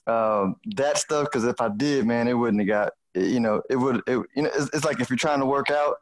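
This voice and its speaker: A man's voice: medium pitch, normal speed, low-quality recording. A man with a Houston, Texas accent chatting casually as a podcast host, colloquial and peppering his talk with "you know". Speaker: podcast host and financial advisor. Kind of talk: casual conversational chat.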